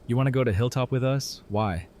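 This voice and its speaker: A man's voice: medium pitch, brisk, darkish tone, natural voice. A man playing an easygoing, curious survivor in an audio drama, with a casual, wry way of talking.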